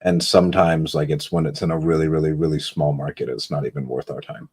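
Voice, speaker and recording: A man's voice: very deep voice, flat delivery, fast talker, darkish tone, slightly rough. A man from California, a real estate investor, chatting casually on a phone call.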